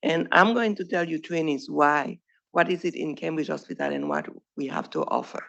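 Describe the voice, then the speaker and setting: A woman's voice: sits low, sing-song, moderate pace, gravelly, fairly bright tone, dull audio. A woman from Haiti with a Haitian accent, a primary care doctor giving an earnest presentation to trainees. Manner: earnest, plain speech with small grammar slips. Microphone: podium microphone.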